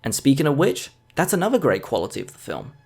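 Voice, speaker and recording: A man's voice: mid-range voice, wildly swooping pitch, fast, vocal fry, darkish tone, husky. A man narrating a scripted video essay as a film reviewer. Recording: music under the voice. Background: background music.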